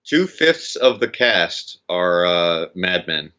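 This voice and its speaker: A man's voice: sits low, very animated, slow pace, pushed and bright, clean tone, lo-fi sound. A man co-hosting a film podcast, making dry, wry remarks in casual chat. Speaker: podcast co-host.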